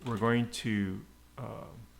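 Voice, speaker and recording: A man giving an unscripted coding tutorial, haltingly muttering to himself as he types. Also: lowish voice, level tone. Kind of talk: unscripted screencast coding tutorial, thinking aloud. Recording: clear background noise, keyboard clicks. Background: typing and mouse clicks.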